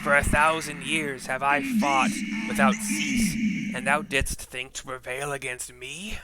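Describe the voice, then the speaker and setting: A man's voice: fairly high pitch, animated, unhurried, noisy background. A man voicing an ancient vengeful dragon, proud and wrathful, declaiming game dialogue in grandiose archaic speech. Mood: scornful, defiant.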